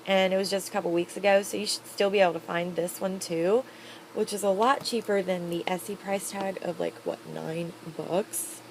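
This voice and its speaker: A woman's voice: mid-range voice, a little hiss or hum, poor audio. A woman vlogger reviewing products in a casual, chatty, upbeat way, rambling with fillers. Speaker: beauty vlogger.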